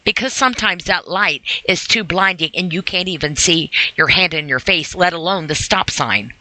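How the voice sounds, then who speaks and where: Female self-help podcast host, bright forward voice, close dry sound, earnest and informal. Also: on the low side, normal speed, no echo, poor audio. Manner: conversational, earnest, rhetorical questions to the listener. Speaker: podcast host reading and commenting on a sobriety e-book. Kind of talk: reading aloud with informal motivational commentary.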